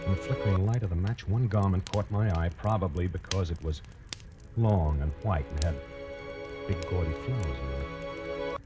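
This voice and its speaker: An American actor playing a nervous, awkward pollster in a 1940s radio thriller, speaking with halting politeness and confessional unease. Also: male voice, sits low, natural voice. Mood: haunted, confessional. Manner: hesitant, halting, stammering politeness. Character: nervous, awkward door-to-door pollster narrating his own lurid confession. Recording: old radio broadcast with loud music.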